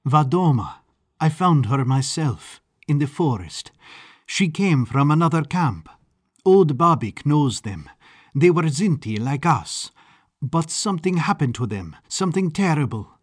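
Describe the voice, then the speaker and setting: A man voicing a young woman of a traveling caravan, who talks brightly and earnestly. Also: sits low, very animated, measured pace, husky, no echo, studio quality, exaggerated voice. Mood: grave. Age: 20s. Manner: bright and chatty, turning grave.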